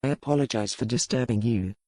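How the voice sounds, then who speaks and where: A woman's voice: extremely low pitch, warm tone, no room sound, pitched below the performer's own voice. A woman voicing a grave male physician. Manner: formal, measured, courteous.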